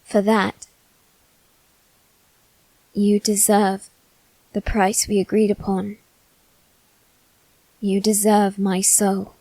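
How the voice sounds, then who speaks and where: A woman plays a proud, self-loathing young nobleman, a boy with a medium-pitched voice in an ASMR character drama. He speaks slowly and haltingly, with formal, theatrical phrasing. The sound is dry and free of room echo. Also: monotone, dark tone. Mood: wistful, tender. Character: proud, wounded, self-loathing aristocratic boy facing his demon.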